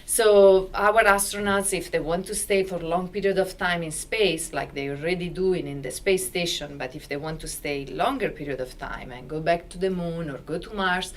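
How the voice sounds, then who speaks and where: A middle-aged Italian woman with a fairly low voice talks in accented English, lively and sometimes groping for the right word. She speaks into a handheld mic in a lecture room, with a faint hum of room noise behind her. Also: moderate pace, hardly any pauses. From Italy. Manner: animated, searching for words, non-native phrasing. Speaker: physicist on a panel. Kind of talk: panel introduction. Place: university lecture room with audience.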